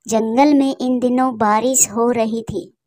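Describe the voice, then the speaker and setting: Female narrator for children's tales: high pitch, soft warm tone, close dry recording. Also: fairly even intonation, unhurried, no room sound. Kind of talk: scripted children's story narration.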